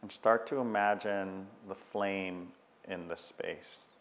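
A man leads a guided meditation in a very low voice. He speaks very slowly and measures out each instruction, leaving long pauses. The sound carries a long, lingering reverb. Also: steady tone, muffled recording.

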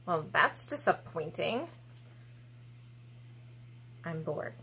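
A woman's voice: sits low, big pitch swings, very slow, sharp tone, slight background noise, voice pushed down, full character voice. A woman voicing a grumpy, dismissive talking potato in a picture book, curt and unimpressed. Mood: bored, dismissive. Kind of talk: picture-book read-aloud.

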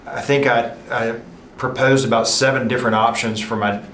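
A pastor from Alabama speaking hesitantly with frequent fillers, standing in a church atrium. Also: male voice, medium pitch, fairly even intonation, moderate pace, fairly bright tone, roomy sound, a little hiss or hum. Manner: hesitant, many ums and restarts. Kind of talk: casual group testimonial.

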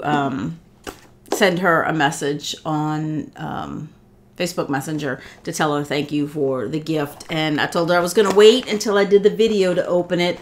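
Chatty middle-aged lady, kinda low voice, stuffed up from a cold, unboxing stuff in her living room. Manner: chatty, warm thanks, many fillers and restarts. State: congested from a cold. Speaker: vlogger. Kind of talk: casual unboxing chat.

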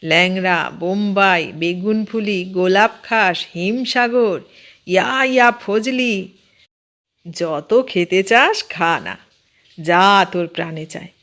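A woman voicing an excitable, boastful, easily flustered uncle who works on the railway, in a storybook reading. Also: high voice, slow, full character voice. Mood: boastful, relishing.

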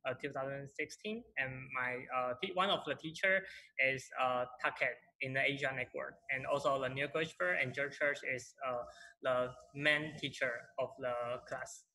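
A man in his twenties with a Taiwanese accent, a native Mandarin speaker, moderating an online presentation in halting, non-native phrasing. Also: mid-range voice, sharp tone, rough recording. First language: Mandarin Chinese. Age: 20s. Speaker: panel moderator. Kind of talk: online presentation with self-introduction. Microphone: video call.

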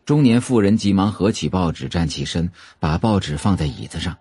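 Deep, mellow male drama narrator. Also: lively intonation, fairly quick, breathy edge, no room sound. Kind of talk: scripted audiobook-style drama narration. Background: faint music.

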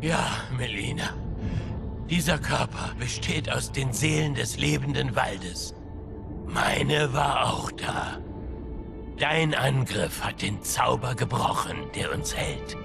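An actor playing a solemn, regal warrior father in a dramatic video game, speaking in a measured way with a gravelly voice. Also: medium pitch. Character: resurrected royal father, regal warrior made of souls. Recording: loud music behind voice. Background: loud music.

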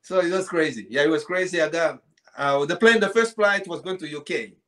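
A man's voice: fairly high pitch, a little fast, bright, forceful tone. A man in his thirties with a Central African accent, telling a personal story in casual chat, repeating phrases and acting out dialogue. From Congo. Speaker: podcast guest, poet. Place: small indoor room at home, video call.

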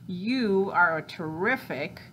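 A woman's voice: lowish voice, lively intonation, takes it slowly, noisy background. A woman voicing a small, sly young male piglet in a storybook, polite and coaxing with mock innocence. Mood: coaxing, innocent.

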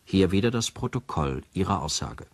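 An actor playing a sober narrator who formally presents a case file in a radio drama. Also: male voice, low voice, big pitch swings, moderate pace, dry recording, full character voice. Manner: factual, formal.

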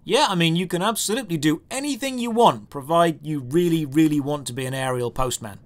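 A man's voice: fairly high pitch, expressive, a little fast. A man narrating a scripted comedic video game review in a sarcastic, exasperated, emphatic way.

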